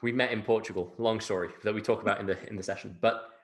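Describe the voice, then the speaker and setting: A man's voice: fairly low pitch, fairly even intonation, fast talker. A man from England with a British accent, speaking emphatically as a guest in an interview, repeating phrases and often checking in with a tag question. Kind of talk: conversational interview talk.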